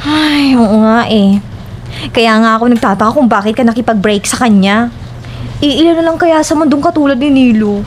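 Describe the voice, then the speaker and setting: A woman's voice: on the high side, moderate pace, slight background noise, close to an everyday voice. A woman with a Filipino accent acting a sympathetic, questioning young roommate in an audio drama.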